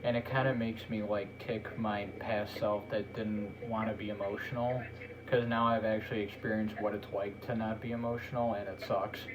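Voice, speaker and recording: A man talking in a flat, monotone way in a casual podcast chat. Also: on the low side, normal speed, noisy background. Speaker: podcast host.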